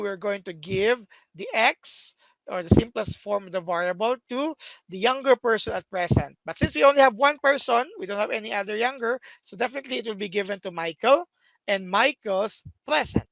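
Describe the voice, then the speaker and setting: A man's voice: high-pitched, normal speed, gravelly, fairly bright tone, no room sound, poor audio. A young man teaching a math lesson over a screen recording, explaining step by step with many "okay"s, recorded at a desk.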